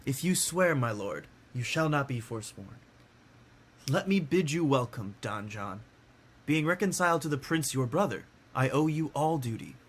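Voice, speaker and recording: A man playing a courteous older nobleman and gracious host in a Shakespearean radio play, husky and formal. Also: medium pitch, lively intonation, normal speed. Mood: courtly.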